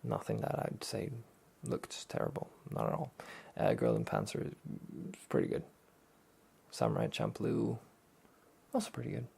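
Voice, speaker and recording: A soft-spoken man hosting a relaxed review show, often near a whisper, rambling and hesitant in an understated way. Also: fairly low pitch, moderate pace, creaky, long pauses, breathy edge. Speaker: host of a soft-spoken anime talk show.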